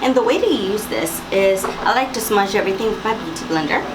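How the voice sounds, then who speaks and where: A young woman speaks in a raspy morning voice, chatty and instructive, walking viewers through a makeup tutorial. She talks at a middle pitch for a woman. A loud hiss and air-conditioner hum sit under her voice in a room at home. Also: animated, brisk. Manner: chatty, instructive, with fillers and asides. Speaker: makeup vlogger. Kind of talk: makeup tutorial talk to camera.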